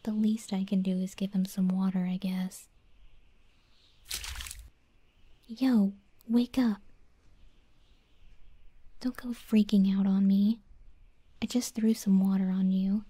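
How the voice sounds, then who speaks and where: A woman voicing a brash but begrudgingly kind tsundere scorpion girl in a soft, whispered ASMR roleplay, teasing and slangy, close to the microphone. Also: medium pitch, steady tone, unhurried, long pauses, slightly rough. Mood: begrudging, aloof. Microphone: close, dry ASMR microphone.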